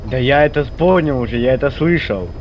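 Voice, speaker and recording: A man's voice: mid-range voice, animated, a little fast, lo-fi sound, slight background noise. A man chatting casually during a game in a hesitant, puzzled way. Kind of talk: casual chat while gaming.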